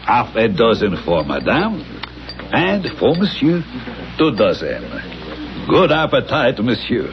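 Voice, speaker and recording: An actor playing an unctuous French waiter with flourishing, obsequious manners and a French accent, in 1970s radio drama style. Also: male voice, medium pitch, wildly swooping pitch, slow pace, noisy background, full character voice. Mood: suave, fawning. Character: unctuous French restaurant waiter, proud of the food. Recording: muffled 1970s radio recording, loud music.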